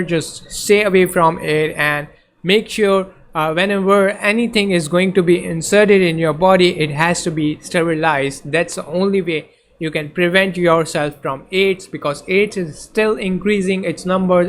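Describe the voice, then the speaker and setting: A man's voice: high-pitched, fairly even intonation, unhurried, a bit bright, a little hiss or hum. A man whose first language is Urdu, teaching in a casual lecture over slides, hesitant, with many fillers and restarts. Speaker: tutor.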